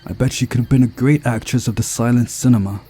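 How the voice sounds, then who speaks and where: A man reading a visual novel aloud as a narrated story, giving the characters voices, in a relaxed, unhurried way. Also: medium pitch, a little hiss or hum.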